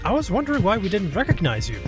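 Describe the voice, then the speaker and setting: High-voiced, diplomatic young guide. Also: male voice, huge pitch swings, music in the background. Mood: knowing, explanatory. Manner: measured, explanatory. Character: gentle, thoughtful young guide, knowing and diplomatic. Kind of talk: audio drama acting.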